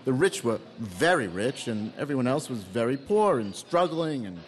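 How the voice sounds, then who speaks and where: A man's voice: mid-range voice, sing-song, moderate pace, close to an everyday voice. A man playing a chatty, inquisitive diner talking with friends in a conversational radio play. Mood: reflective. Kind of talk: full-cast radio theatre, conversational.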